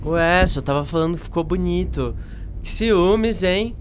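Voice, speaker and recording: A woman's voice: lowish voice, unhurried, slight background noise, natural voice. A woman playing a cheeky, lazy, teasing schoolboy in a radio drama, with a Brazilian accent and a grumbling, flippant manner.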